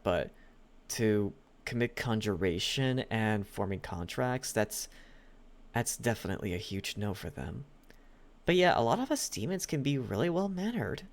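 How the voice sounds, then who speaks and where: A man playing a possessive, lovesick demon butler in an intimate ASMR roleplay, coaxing with a halting delivery and lingering pauses. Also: medium pitch.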